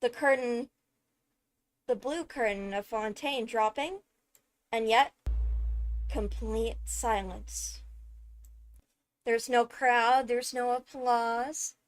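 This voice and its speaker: A woman giving casual, excited video commentary on a game trailer, chatty and thinking aloud with frequent interjections. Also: a little high, takes it slowly, long pauses. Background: loud music from the video under commentary.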